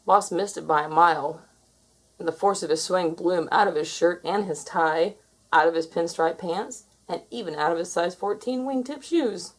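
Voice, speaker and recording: A woman reading a children's story aloud as an expressive narrator. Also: on the low side, normal speed, poor audio. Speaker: storybook reader.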